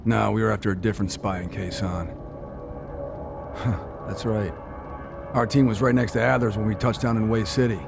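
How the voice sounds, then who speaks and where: An actor playing a seasoned, sarcastic covert operative in a video game, trading banter in a dry, deadpan manner. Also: male voice, on the low side, moderate pace, vocal fry, pauses often, over music. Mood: dry, needling. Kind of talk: video game character dialogue.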